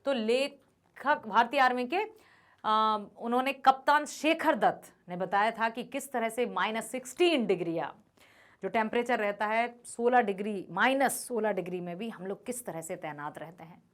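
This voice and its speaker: A woman's voice: fairly high pitch, moderate pace, a bit bright. A woman teacher going through multiple-choice exam questions. She reads out each set of options and then explains the answer to her students. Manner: addresses students as children. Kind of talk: exam revision lesson.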